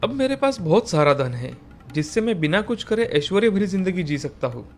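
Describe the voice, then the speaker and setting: Male folktale character, played by a man, slightly high pitched, cartoon storytelling delivery, faint music bed. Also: sing-song, a little fast. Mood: content. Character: men of a moral folktale: labourer, landlord, greedy king.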